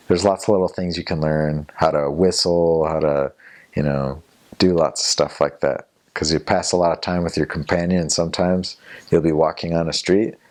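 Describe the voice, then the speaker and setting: Young man with a very low voice. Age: young adult. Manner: relaxed, rambling with fillers and restarts. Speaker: returned missionary interviewee. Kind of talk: casual interview storytelling.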